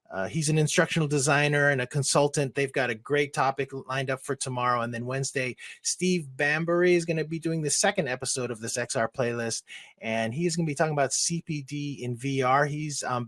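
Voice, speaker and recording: A man, hosting an online talk show in a loose, chatty way with many ums and restarts. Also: fairly high pitch. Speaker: host.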